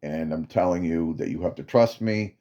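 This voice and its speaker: A man voicing a stern male military commander who gives slow, emphatic orders. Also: low voice, dark tone, highs cut off, natural voice.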